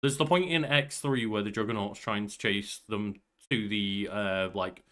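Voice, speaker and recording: A man's voice: on the low side, fairly even intonation, moderate pace, fairly bright tone. A man, around his thirties, musing and rambling casually as a podcast co-host. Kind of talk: casual banter.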